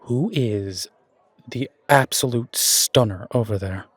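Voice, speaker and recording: A man's voice: mid-range voice, slow, dry recording. A man playing a down-to-earth, blunt human restaurant owner in an audio roleplay, plain-spoken and understated. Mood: awed. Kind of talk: fantasy audio roleplay acting.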